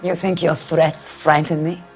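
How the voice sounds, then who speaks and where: A woman's voice: deep voice, flat delivery, unhurried, darkish tone, soft background music. An actress playing a defiant woman who runs a nightclub band in a 1940s noir game, cold and clipped.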